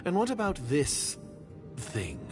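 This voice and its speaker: An actor playing a wary, condescending secret agent, a villain in a fantasy game, who talks in a measured, disdainful and coolly menacing way. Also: male voice, sits high, wildly swooping pitch, heavily acted. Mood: suspicious. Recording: game music behind voice.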